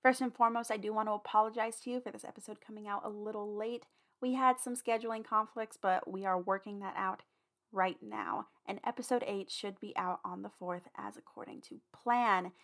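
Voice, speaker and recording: A woman with a medium-pitched voice hosts a podcast. She chats informally to her listeners, giving announcements in a casual way. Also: fairly quick. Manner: chatty, informal. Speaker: podcast host and producer.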